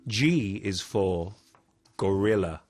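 A man announcing chapter titles in a scripted, drawn-out way for an audio play. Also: sits low, expressive, darkish tone, no room sound, faint music behind.

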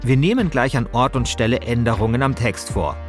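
A man's voice: medium pitch, animated, fairly quick, faint music behind. A man hosting a show, moving between narration and casual conversation. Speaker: podcast host.

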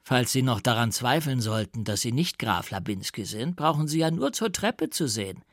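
A man's voice: mid-range voice, very animated, moderate pace, dry recording, studio quality. A man voicing an insolent, mocking male servant with a sneering, derisive manner in a literary audiobook.

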